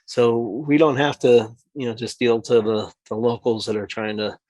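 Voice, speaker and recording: A man's voice: mid-range voice, steady tone, moderate pace, darkish tone, no room sound, low-quality recording. A man who makes mead, guest on a podcast, talking enthusiastically in long run-on stretches. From New Hampshire. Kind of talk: casual podcast interview.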